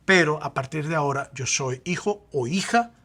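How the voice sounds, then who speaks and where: A man, a pastor, explaining faith and identity in a lively conversational way, acting out quoted examples. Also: fairly high pitch, moderate pace.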